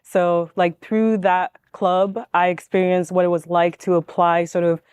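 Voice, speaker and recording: A young woman speaks on a panel in a smooth, clear voice. Her pitch stays fairly level as she tells her own story informally. The sound is dry and close, with little room around it. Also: little pitch movement, unhurried, darkish tone, dry recording. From born in Ghana, grew up in Washington state, US. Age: young adult. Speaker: panelist, manufacturing engineer. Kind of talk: informal personal introduction on a panel.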